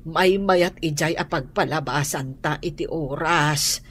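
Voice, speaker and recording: A woman's voice: low voice, unhurried. A woman playing an anxious, prayerful elderly grandmother in an Ilocano radio drama, sighing and drawing out her words. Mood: worried. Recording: noisy radio drama recording.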